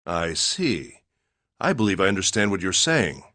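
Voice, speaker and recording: An actor voicing a composed, courteous older gentleman in a video game, speaking in formal, measured phrases. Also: deep voice, big pitch swings, dry recording, heavily acted. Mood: composed, polite. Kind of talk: English video game voice acting.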